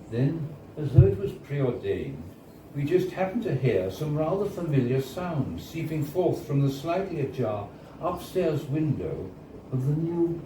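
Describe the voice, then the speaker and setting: Theatrical, animated man reading in an echoey bar. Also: medium pitch, distant mic. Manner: measured, theatrical orator with deliberate pauses. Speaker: reader of a story. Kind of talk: live dramatic story reading. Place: live on stage in a bar. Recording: echoey, noisy bar recording from off.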